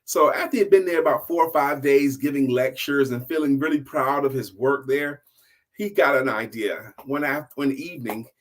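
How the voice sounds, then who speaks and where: A middle-aged man telling stories casually to an audience, with an animated, emphatic manner. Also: mid-range voice, moderate pace. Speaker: storyteller and sales coach.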